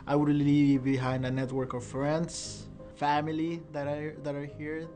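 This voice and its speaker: A man in his twenties, giving a measured, reflective testimony in a documentary interview. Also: fairly high pitch, completely flat delivery, warm tone. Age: 20s. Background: music.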